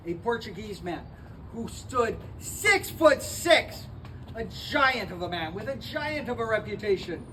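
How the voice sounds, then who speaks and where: A man with a high voice works as a history interpreter, animatedly drawing visitors into his story and asking them questions. He is heard from some distance away. Outdoor ambient noise runs underneath him. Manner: animated, inviting, asks the audience questions. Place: historic park.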